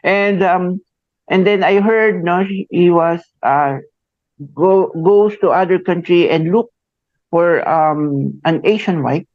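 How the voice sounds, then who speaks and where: A Filipino man in his forties hosting a radio interview, speaking haltingly with many fillers and a tagged "no?". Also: high voice, no room sound. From Philippines. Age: 40s. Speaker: radio host. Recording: muffled dry radio studio sound.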